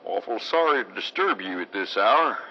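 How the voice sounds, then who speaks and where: Low-voiced folksy sheriff. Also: male voice, expressive, moderate pace. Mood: folksy, gentle. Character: folksy, courteous country sheriff, gently questioning. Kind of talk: radio drama acting. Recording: dull old-radio sound, faint noise.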